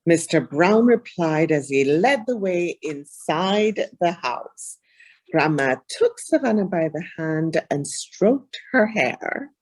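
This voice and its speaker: Husky, animated woman storyteller. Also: fairly low pitch, takes it slowly. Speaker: children's storyteller. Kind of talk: expressive storybook read-aloud.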